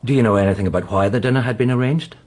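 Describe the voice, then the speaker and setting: An actor playing a polite, probing police inspector in a radio drama, asking questions with courteous hesitation. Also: male voice, medium pitch, very fast, darkish tone, natural voice.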